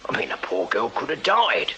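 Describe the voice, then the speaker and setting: An actor playing a plain-spoken, deferential Victorian constable and loyal sidekick in a radio drama, colloquial and blunt. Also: male voice, medium pitch, huge pitch swings, moderate pace, bright, forceful tone. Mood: speculating. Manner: colloquial, blunt, hesitant with his superior. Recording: dull, noisy radio drama copy.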